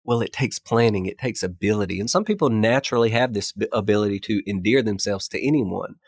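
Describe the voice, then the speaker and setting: Man, low gravelly voice, chest cold, casual podcast co-host, dry close mic. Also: fast, a little mellow, dry recording. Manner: conversational, explanatory, laughs easily. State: congested chest cold, scratchy voice.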